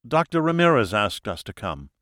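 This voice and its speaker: Guy reading a level-headed woman scientist, bit teasing, careful, bright dry-room sound, audiobook. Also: fairly low pitch, very animated, moderate pace, dry recording. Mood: polite, explaining. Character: wry, capable, cautious American wife and scientist.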